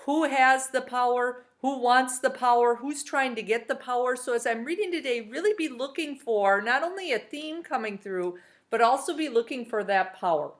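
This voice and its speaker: A woman teacher talking to her class and reading a novel aloud in an upbeat, encouraging, steady storytelling manner. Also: a little high, normal speed.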